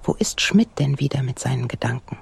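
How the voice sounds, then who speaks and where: A woman playing a flirtatious, sharp-tongued space traveller in an audio drama, teasing and suggestive in manner. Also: very deep voice, expressive, a little fast, vocal fry, heavily acted. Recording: roomy echo.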